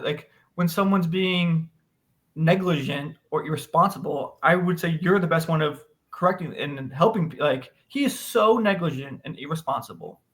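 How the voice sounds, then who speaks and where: American guy w a bit of a higher voice, book podcast cohost, casual but emphatic n opinionated. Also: fairly bright tone. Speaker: podcast co-host.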